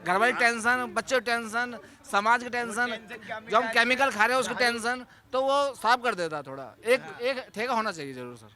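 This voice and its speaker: A man's voice: extremely high pitch, normal speed, a crowd in the background. A man giving a casual opinion in the street, earnestly listing his points. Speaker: member of the public. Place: outdoors on a street.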